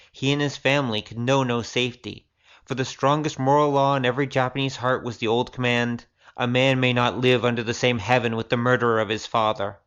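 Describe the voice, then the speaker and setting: A man's voice: medium pitch, a bit bright, dull audio. A man reading a storybook aloud as scripted audiobook narration. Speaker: audiobook reader.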